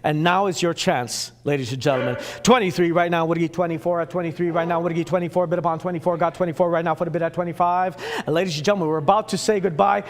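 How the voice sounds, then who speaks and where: Swedish-accented man, high-voiced auctioneer rattling off bids at speed, repetitive chant. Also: fairly even intonation, fast. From Sweden. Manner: repetitive rhythmic bid chant. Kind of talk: rapid auction calling.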